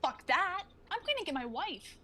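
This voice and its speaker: A woman's voice: very high-pitched, very animated, moderate pace, bright, forceful tone, slight background noise, exaggerated voice. A woman playing a blunt, hot-headed soldier in a dramatic reading of a sci-fi script. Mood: impatient. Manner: blunt, no-nonsense.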